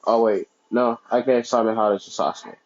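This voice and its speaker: A young man casually telling a fan fiction story out loud, rambling and correcting himself as he goes. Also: mid-range voice, steady tone, normal speed, dull audio. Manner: rambling, self-correcting, many fillers.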